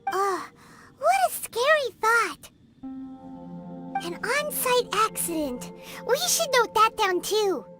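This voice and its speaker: A woman voicing a tiny, childlike, curious fairy-like companion in an anime-style video game, squeaky and exclamatory. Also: big pitch swings, takes it slowly, exaggerated voice. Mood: uneasy, pondering. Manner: exclamatory, naive questioning. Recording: loud background music.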